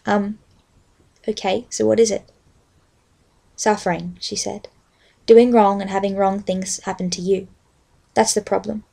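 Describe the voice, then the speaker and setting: A young adult woman with an Australian accent, speaking as a vlogger in a halting, unscripted-sounding way. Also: mid-range voice, level tone, vocal fry, dark tone. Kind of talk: casual rambling chat with a read-aloud book passage.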